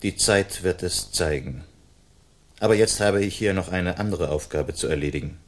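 A man acts out a gallant outlaw swordsman with a fairly low voice. He is proud and defiant, giving formal commands and threats in the manner of a radio play. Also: moderate pace, natural voice. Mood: threatening. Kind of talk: German radio play acting.

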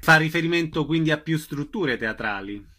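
Bright-voiced male radio interviewer. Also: a little high, expressive, moderate pace. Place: radio broadcast.

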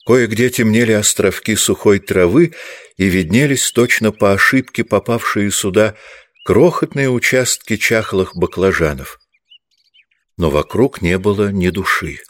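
Male narrator for literary fiction audiobook. Low register, dry studio-tight sound, scripted delivery. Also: a little slow, dry recording.

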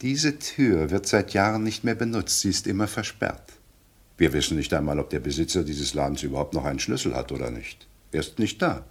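An actor playing a sober, exacting detective who reasons formally and insistently in a radio crime drama. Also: male voice, extremely low pitch, lively intonation, brisk, slightly rough, exaggerated voice. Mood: grave, insistent. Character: sober male detective, professionally proud and exacting.

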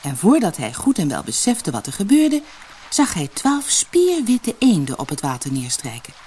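A woman narrating a fairy tale for an audiobook in an expressive, dramatic, scripted storytelling style. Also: on the low side, slight background noise.

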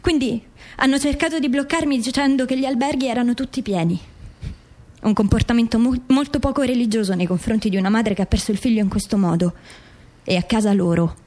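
A woman's voice: on the high side, fairly quick, darkish tone, natural voice. An actress playing a grieving, insistent mother seeking the truth about her dead son in a radio drama. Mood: bitter, indignant.

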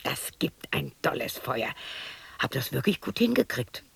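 An actress playing a lonely, eccentric widow of sixty in a radio play, muttering to herself in a dramatic, erratic, defiant way. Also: female voice, rumbling low, sing-song, a little slow, dry recording, heavily acted. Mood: grimly gleeful muttering. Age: 60s. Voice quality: breathy, raspy. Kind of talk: radio play monologue acting.

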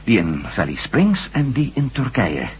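An actor playing a dogged, inquisitive ex-astronaut reporter in a Dutch radio drama. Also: male voice, mid-range voice, huge pitch swings, measured pace, darkish tone, breathy edge, muffled recording, full character voice. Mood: probing. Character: nosy, dogged male ex-astronaut reporter working undercover. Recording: noisy old radio recording.

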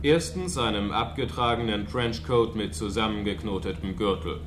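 A man's voice: lowish voice, moderate pace, noisy background, natural voice. A man reading out an inventory item by item as a scripted part of a 1970s radio drama. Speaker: reader of numbered inventory items. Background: music.

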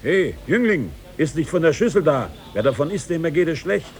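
Brusque, higher-voiced townsman. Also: big pitch swings, brisk, darkish tone. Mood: warning. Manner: brusque, calling out. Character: nosy townsman at feast challenging strangers. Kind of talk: 1950s German radio drama acting.

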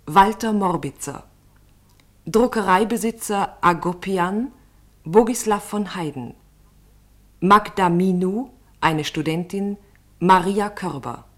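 A woman radio announcer reading scripted credits in a measured, formal manner. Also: mid-range voice.

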